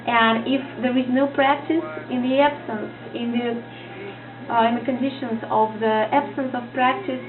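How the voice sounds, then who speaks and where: A woman's voice: fairly high pitch, fairly even intonation, moderate pace. A woman with a Russian accent, a native Russian speaker, teaching in a casual vlog lesson, hesitant and searching for words as she talks. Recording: tinny lo-fi audio with music behind.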